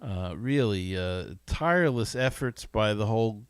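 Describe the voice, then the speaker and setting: Slow, halting man hosting sports talk. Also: fairly low pitch, lively intonation, clean tone, no echo, clean studio sound. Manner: halting, rambling, enthusiastic. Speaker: podcast host. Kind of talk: casual solo sports talk.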